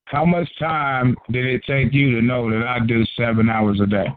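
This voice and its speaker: Blunt, combative call-in host on a phone line. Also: male voice, medium pitch, fairly even intonation, normal speed, no echo. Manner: blunt, profane and confrontational. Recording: tinny phone-line audio.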